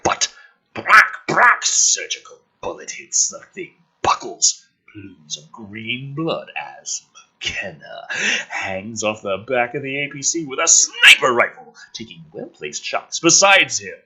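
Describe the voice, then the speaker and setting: A man reads a script aloud as a host, with a mocking, theatrical manner. His voice is forceful and a little rough, and it swings widely in pitch as he adds exasperated asides. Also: big pitch swings, slow, poor audio. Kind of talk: animated script read-through with sarcastic asides.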